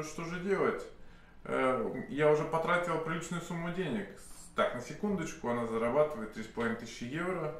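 A man in his thirties with a slight cold, telling a long story to camera, full of pauses and restarts. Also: medium pitch. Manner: rambling, many hesitation sounds and restarts. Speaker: self-styled sorcerer telling a client's story. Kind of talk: unscripted monologue to camera. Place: indoor office room with candles. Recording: very echoey room, faint hiss.

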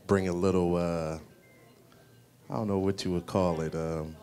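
A man, one of the singers in a play, introducing himself haltingly with many fillers in a restaurant bar. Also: low voice, flat delivery, darkish tone, clean tone, soft background music.

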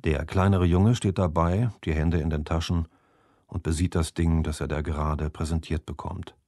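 A man narrating a fantasy audiobook in an expressive way. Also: very deep voice, normal speed, no echo, studio quality.

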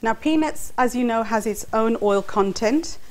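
A woman's voice: fairly high pitch, moderate pace, background noise. A middle-aged woman hosts a cooking show, explaining each step as she cooks in a studio kitchen on a lapel mic. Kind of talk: cooking demonstration. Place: studio kitchen set, indoors. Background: faint clatter of pots and utensils.

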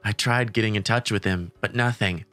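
A woman's voice: extremely low pitch, moderate pace, fairly bright tone, dry recording. A woman voicing a timid, kindhearted teenage boy who narrates his story and stammers when flustered, in a manga comic dub. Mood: wistful narration. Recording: background music under the voice.

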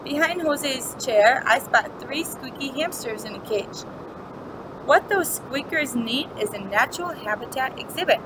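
A young woman with a high voice reads a children's story aloud in a teacherly way, using simple phrasing for little ones. The recording has clear background noise, picked up by a webcam mic. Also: lively intonation, measured pace. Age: young adult. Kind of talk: picture-book read-aloud with asides to children. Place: indoor classroom, seated.

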